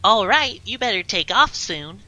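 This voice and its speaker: A woman's voice: mid-range voice, big pitch swings, moderate pace, pushed and bright. A woman voicing a busy, urgent elf who calls out instructions in a fan fiction read-aloud. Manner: calling out, urgent.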